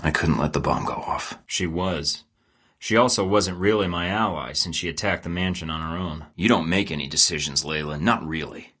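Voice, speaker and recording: Game secret agent: very low-pitched man, cool and unflappable, dry wisecracks, sardonic edge. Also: normal speed, fairly bright tone, slightly rough. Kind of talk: video game dialogue, separate takes.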